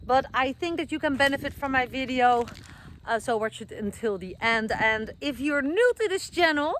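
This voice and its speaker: A woman's voice: high-pitched, animated, normal speed, a bit bright, slight background noise. A middle-aged woman from the Netherlands with a Dutch accent, chatting casually to camera outdoors, with many fillers and self-corrections. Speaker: vlogger. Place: outdoors, garden with trees being planted.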